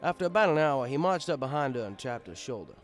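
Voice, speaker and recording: Lively man narrating a wry story. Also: mid-range voice, fast, faint music behind. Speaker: audiobook reader, first-person narrator.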